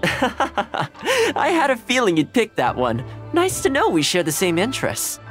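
Cheerful young anime man, lively swooping intonation, laid-back, polite, video game dialogue. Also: very high voice, unhurried, faint music behind, heavily acted. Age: young adult. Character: friendly, easygoing young male anime housekeeper.

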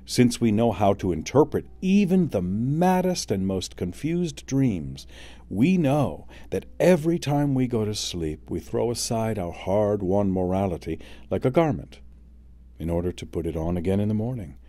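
A man reading a classic essay aloud as an audiobook, with a measured, solemn, drawn-out delivery. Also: lowish voice, animated, mellow, no echo. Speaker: audiobook reader.

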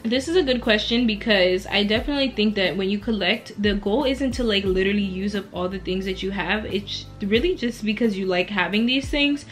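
A woman in her early twenties chatting casually through a Q&A vlog while lying back on her bed at home, relaxed and laughing often between answers. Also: mid-range voice. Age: 20s. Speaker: beauty and lifestyle YouTuber.

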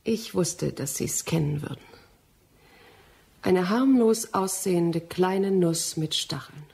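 An actress in a German radio drama playing a determined, accusing young scientist of the nineteenth century, earnest and precise. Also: female voice, on the low side, takes it slowly, creaky, dark tone, husky, heavily acted. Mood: grave, intent.

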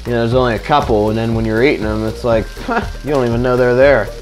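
A man's voice: medium pitch, steady tone, fast talker. A young man chatting casually to his viewers while cooking in his home kitchen, loose and slangy, on a camera mic by the stove. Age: young adult. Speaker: vlogger.

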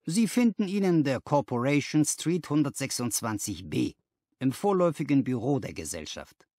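A man's voice: medium pitch, sing-song, moderate pace, mellow, no room sound, studio quality. A man voicing an effusive, persuasive businessman in a story, emphatic and a little patronising. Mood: businesslike. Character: brisk, effusive businessman recruiter, flattering and persuasive.

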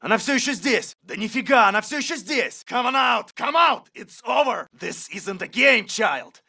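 Russian soldier from a shooter, yelling threats, really high and forceful, bit distant from mic. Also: male voice, animated, moderate pace, creaky, full character voice. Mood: angry, shouting. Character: aggressive Russian soldier hunting a child, barking threats. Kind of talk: video game combat voice lines.

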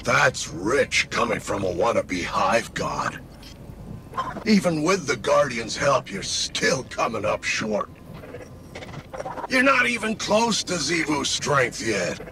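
Gravelly, swooping, cynical ghost sidekick. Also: male voice, mid-range voice, sing-song, takes it slowly, background noise. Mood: mocking. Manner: sardonic, slangy, swaggering. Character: cynical wisecracking male ghost companion of a villain, smug and self-serving. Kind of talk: video game character dialogue. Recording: loud music behind the voice. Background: game music.

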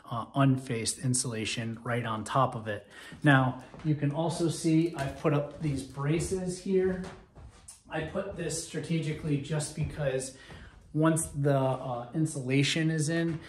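A man's mid-pitched voice walking viewers through a build in a bare, echoing wooden loft, the sort heard on a weekend workshop vlog. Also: a little mellow. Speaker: DIY woodworker presenting a project video. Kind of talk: explanatory vlog to camera. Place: large empty timber barn loft, indoors. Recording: roomy echo, faint background noise and clicks.

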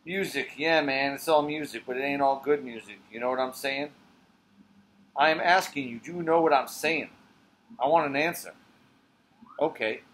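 A man's voice: medium pitch, moderate pace, pauses often, a bit bright, lightly acted. A man reading a stage monologue as a streetwise, slangy man who gives tough life advice with insistent emphasis. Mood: insistent, preaching. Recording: clear background noise.

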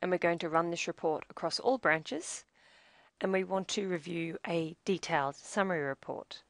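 A woman with a somewhat low voice narrates a software demonstration from a script. She explains each step in a measured way. The sound is close and dry, with very little room in it. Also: dry recording. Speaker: software demo presenter.

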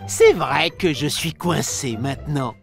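Small cartoon virus villain, mischievous, theatrical, swooping intonation, gravelly creak, music behind. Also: female voice, deep voice, expressive, a little slow, full character voice. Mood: sly, playful. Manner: teasing, theatrical, exaggerated. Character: mischievous boastful little virus villain, playful with children. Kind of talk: animated educational narration for children.

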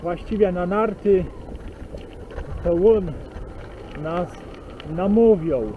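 A man with a Silesian accent chatting casually and slowly while cycling outdoors on country roads, recorded on a bike camera. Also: high-pitched, phone-quality sound, slight background noise. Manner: slow, rambling, relaxed chat with pauses. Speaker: cycling vlogger. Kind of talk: casual spoken commentary while riding. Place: outdoors on a bike on rural roads and forest tracks.

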